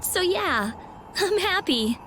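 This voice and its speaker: Prickly teenage girl mage, high pitch, lively intonation, brisk and brainy, game dialogue. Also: faint music behind, full character voice, rough recording. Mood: happy. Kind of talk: video game voice acting.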